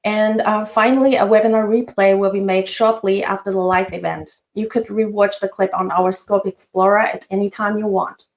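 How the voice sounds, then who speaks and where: A woman hosts a finance webinar in a polite, partly scripted manner, hesitating now and then. Her voice sits at a middling pitch. The recording carries a noticeable roomy echo. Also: steady tone, normal speed, few pauses. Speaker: webinar host.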